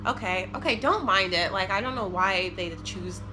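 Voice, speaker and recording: A woman's voice: mid-range voice, normal speed, noisy background. A woman in her twenties telling a personal story in a casual, rambling way, sounding nasal and congested from a cold. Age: 20s. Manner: rambling, casual, many fillers. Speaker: vlogger. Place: living room, sitting on the floor.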